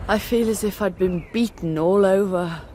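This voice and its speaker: An actress playing an unstable young nun in a radio drama, intense and feverish, swinging from pleading to paranoid whispers. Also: female voice, mid-range voice, moderate pace, dark tone, slight background noise, lightly acted. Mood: weary.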